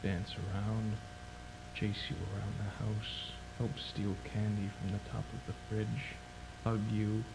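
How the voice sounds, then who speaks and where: A man performs a grieving, bitter father in an analog horror drama. His voice is lowish and gravelly, with somber, halting delivery. A clear hum and noise run through the recording. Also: little pitch movement. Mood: wistful. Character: grieving, vengeful father recording a tape for his dead child. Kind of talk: dramatic found-footage monologue. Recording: noisy, humming tape-like recording.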